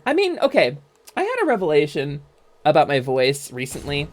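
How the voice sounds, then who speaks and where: A young adult woman streaming a game, chatting casually and excitably, swearing freely. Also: deep voice, normal speed.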